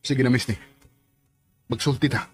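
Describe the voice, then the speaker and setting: A man's voice: medium pitch, fairly even intonation, moderate pace, soft background music, close to an everyday voice. A Filipino actor playing an earnest, serious man in a romantic radio drama, speaking insistently. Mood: serious, pleading. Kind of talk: Philippine radio drama acting.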